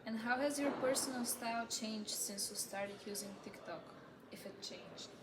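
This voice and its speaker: A woman's voice: a little high, normal speed. A woman interviewer asking questions in a casual research interview. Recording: noisy room ambience.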